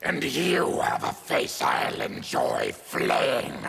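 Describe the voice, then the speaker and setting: An actor voicing a proud, bloodthirsty, diseased monster warrior in a video game, with a guttural, gravelly rasp. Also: male voice, low-pitched, wildly swooping pitch, drawn-out words, fairly bright tone, heavily acted. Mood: menacing. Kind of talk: video game fight-intro dialogue. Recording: long hall-like reverb.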